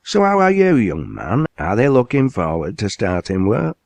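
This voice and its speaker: A man voicing a blunt, hearty older male factory owner with a broad Lancashire dialect, in a commanding, brisk manner. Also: on the low side, huge pitch swings, gravelly, no echo, pitched above the performer's own voice, heavily acted.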